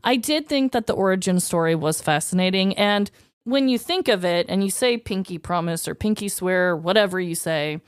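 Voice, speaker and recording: A woman co-hosting a casual, comedic podcast, telling things in an animated, expressive way. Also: on the low side, moderate pace, clear, resonant tone, no echo, clean studio sound. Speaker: podcast co-host.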